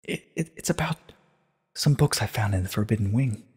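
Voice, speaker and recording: A man's voice: on the low side, expressive, normal speed, darkish tone, slightly rough, in a large hall. A man voicing an anxious, earnest young female pony princess in a story reading, urgent and questioning. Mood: breathless, nervous. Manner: urgent, questioning, stammering at times. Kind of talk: dramatic reading of a short story.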